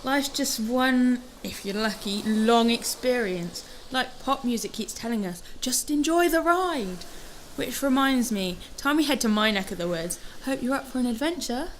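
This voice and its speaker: A woman's voice: fairly high pitch, animated, moderate pace, slight background noise, natural voice, poor audio. A woman acting a quirky, playful young woman in a radio drama, teasing and breezily confident. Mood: whimsical, easygoing. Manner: teasing, whimsical.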